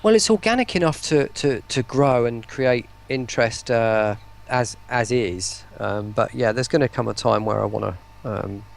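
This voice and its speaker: A man's voice: mid-range voice, moderate pace, vocal fry, hardly any pauses. A man chatting casually as an interview guest, hesitating and repeating words as he explains his work. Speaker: interview guest, voice pack producer.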